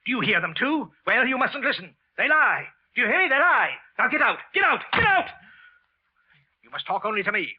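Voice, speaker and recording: A man's voice: extremely high pitch, very animated, fairly quick, husky, exaggerated voice. A male actor playing a guilt-ridden office worker who has killed and is cracking into paranoia, in an overwrought, stammering 1940s radio thriller style. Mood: frantic rage. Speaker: narrator and lead character. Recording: dull old-time radio recording with music.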